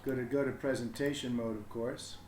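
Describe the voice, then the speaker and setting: A man's voice: medium pitch, steady tone, brisk, darkish tone. Man rambling in an echoey room. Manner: hesitant, rambling, thinking aloud. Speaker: parent hosting a livestream storybook reading. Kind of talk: casual livestream setup chat. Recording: echoey room with background noise.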